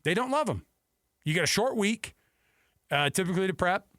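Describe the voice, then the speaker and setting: A male sports radio host with a slightly high voice, recorded close and dry in a studio. He argues his opinions emphatically, circling back to repeat key phrases for weight. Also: animated, moderate pace, sharp tone, no room sound.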